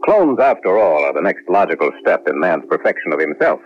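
A man's voice: sits low, very animated, a little fast, slight background noise. An American man acting a stern, proud colonel who trains clones, commanding, in a 1970s radio drama. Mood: assured. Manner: commanding, authoritative. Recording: muffled lo-fi 1970s radio broadcast.

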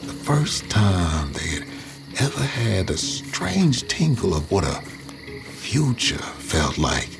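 Cynical Southern storyteller, very deep and brooding, measured delivery over a music bed. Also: male voice, big pitch swings, vocal fry, full character voice. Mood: somber, brooding. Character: cynical, reflective man narrating a bitter past. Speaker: narrator. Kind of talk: first-person dramatic narration.

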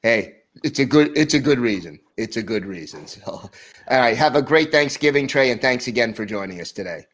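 A man's voice: mid-range voice, lively intonation, fairly quick, a bit bright. Man, podcast host, friendly, upbeat, mid-range voice. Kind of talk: sports podcast chat and read ad.

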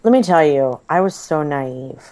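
A woman, likely in her thirties, chatting casually as a podcast co-host, her voice slightly hoarse and froggy, rambling and self-deprecating. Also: low-pitched, animated, unhurried, a little mellow.

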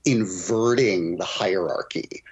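A man's voice: low-pitched, slow, husky. A man talking conversationally as a literary podcast guest, explaining ideas and often rephrasing himself as he goes. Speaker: podcast guest, poet and critic. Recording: dull, muffled audio.

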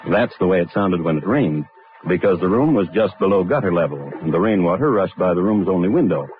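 Tinny 1950s radio recording: sardonic crime-story host, deep, deliberate, dry, widely swinging intonation. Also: male voice, animated, slight background noise. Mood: dry, ominous. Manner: deliberate, dry, macabre understatement. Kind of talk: 1950s radio drama narration. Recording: tinny, band-limited vintage radio recording.

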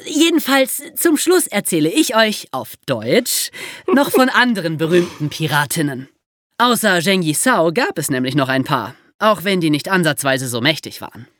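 A woman's voice: low voice, very animated, normal speed, a bit bright, no echo, studio quality. A woman co-hosting a podcast in a casual presenting style, with music behind her. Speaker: podcast co-host.

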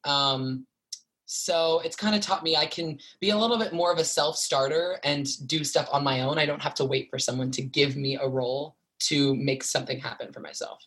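A man's voice: on the high side, fairly even intonation, normal speed, a bit bright. Chatty twenties man, theatre performer, casual interview guest, filler-heavy. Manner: chatty, lots of likes and fillers.

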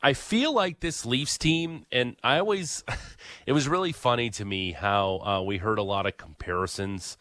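A man's voice: medium pitch, moderate pace, fairly bright tone, no echo, studio quality. A man co-hosting a sports talk radio show, giving wry, opinionated takes and thinking aloud as he goes.

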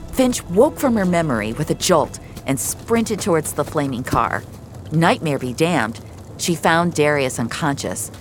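A low-pitched woman narrates dramatic audio fiction. Her delivery is measured and slow, with expressive turns of pitch, over a faint music bed.

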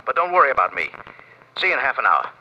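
An actor playing a brisk, cocky informant in a 1950s radio crime drama, clipped and urgent, through a telephone filter. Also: male voice, medium pitch, big pitch swings. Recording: narrow muffled radio phone-call sound.

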